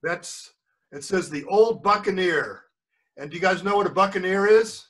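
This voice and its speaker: A man's voice: high voice, moderate pace, fairly bright tone. An older man reading a story aloud to children, stopping often to explain words and check they are following. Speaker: grandfather reading a book to children. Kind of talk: read-aloud storytelling with chatty asides to children. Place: video call with screen sharing.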